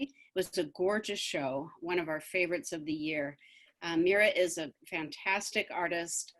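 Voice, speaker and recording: A woman with a lowish voice welcomes everyone to an online event as its director. She is friendly and speaks with many fillers. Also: poor audio. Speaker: artistic director, host. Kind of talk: welcome introduction over video call. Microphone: video call.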